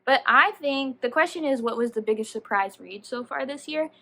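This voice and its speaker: High-voiced woman rambling animatedly about books. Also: normal speed, gravelly. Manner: animated, rambling, slangy, self-interrupting. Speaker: book vlogger. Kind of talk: casual, chatty book review monologue to camera.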